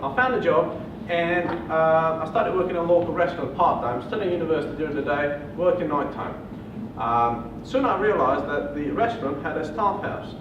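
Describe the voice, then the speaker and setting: A man from Bulgaria in his thirties with a Bulgarian accent, telling his story at a property seminar with earnest pauses and fillers. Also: on the high side. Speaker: property investor presenter. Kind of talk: seminar presentation. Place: hotel function room. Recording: muffled, distant, echoey room with noise.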